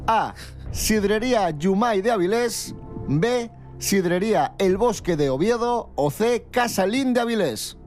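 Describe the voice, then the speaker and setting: A high-pitched man hosts a morning radio show. He presents like a quizmaster, with music playing clearly behind him. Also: lively intonation, measured pace, a little mellow.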